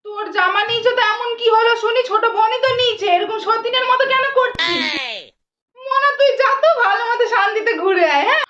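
A woman's voice: very high voice, quick pace, muffled recording, over a noisy crowd. A woman voicing a cold, stingy middle-aged mother who scolds bluntly and dismissively. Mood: scolding. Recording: echoey room with noise.

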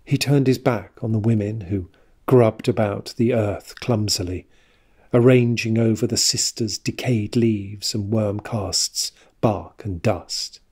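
Audio drama narrator, male, slightly husky, measured and serious, scripted literary storytelling. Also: lowish voice, darkish tone.

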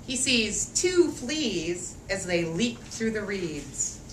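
A woman teacher gives an expressive, rhythmic storybook read-aloud for young children at an unhurried pace. She draws out phrases and engages the children directly. The sound is echoey and picked up at a distance from the mic. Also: mid-range voice. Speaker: teacher reading a picture book to young children. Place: echoey room with a group of children. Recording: echoey room, distant mic, faint noise.

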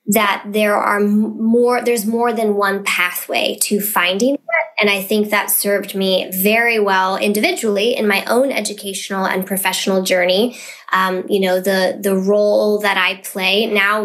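A woman's voice: mid-range voice, fairly bright tone. Woman podcast guest, mid voice, thoughtful and earnest, restarts a lot. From United States. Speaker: guest, literacy business founder. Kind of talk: casual podcast interview.

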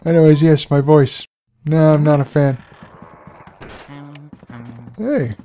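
Mellow, relaxed gamer guy. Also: a little high, slow, a little hiss or hum. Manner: relaxed, profane, self-deprecating rambling. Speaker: let's play host. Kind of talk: casual gameplay commentary.

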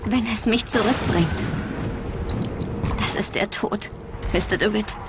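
A woman's voice: mid-range voice, measured pace, close to an everyday voice. Game companion, young woman, earnest, curious, game audio, music behind , an actress voicing her. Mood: grave. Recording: game audio under loud background music.

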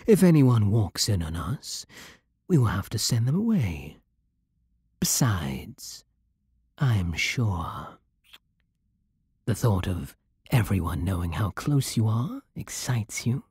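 A man with a British accent playing a possessive, seductive vampire king in an intimate ASMR roleplay, commanding and formal, with a soft, husky voice. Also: on the low side, very animated, no room sound, clean studio sound, full character voice. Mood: hushed, teasing. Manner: formal, slow, teasing, imperious. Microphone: close, dry microphone.

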